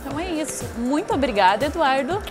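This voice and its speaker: High-voiced young Brazilian woman presenting. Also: big pitch swings, fast, over music. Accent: Brazilian Portuguese. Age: 20s. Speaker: interviewer, presenter. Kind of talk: prepared interview questions and presenting. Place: glass-walled lobby set, seated interview.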